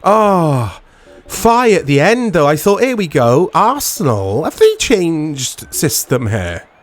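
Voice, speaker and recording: A man giving casual commentary on a football video game in short reactive fragments, his voice strained and a little hoarse. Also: a little high, sing-song, slow pace. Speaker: gaming channel host.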